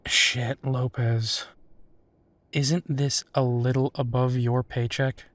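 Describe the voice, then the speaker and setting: Man acting as a wry werewolf detective, casual, hard-boiled, medium pitch, dry close recording, audio drama. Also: level tone, slow, a bit bright, no echo. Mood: concerned. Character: hard-boiled male werewolf private investigator, wry, protective, foul-mouthed.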